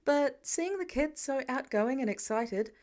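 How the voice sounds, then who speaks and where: Higher-voiced woman narrating a story. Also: animated, measured pace, darkish tone. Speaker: fan fiction podfic narrator.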